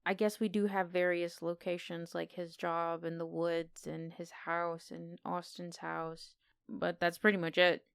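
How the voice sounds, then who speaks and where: A woman's voice: lowish voice, flat delivery, unhurried, gravelly, clear, resonant tone, no room sound, clean studio sound. A woman co-hosting a podcast, giving film opinions in casual, unscripted conversation. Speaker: podcast co-host. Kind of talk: casual film review chat.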